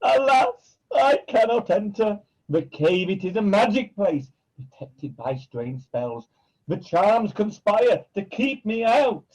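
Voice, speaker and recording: An actor playing a scheming pantomime villain who poses as a kindly uncle, speaking in theatrical, wheedling rhyme. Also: male voice, high-pitched, sing-song, slow, darkish tone, full character voice, rough recording. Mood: persuasive, dramatic. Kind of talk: rhyming pantomime acting.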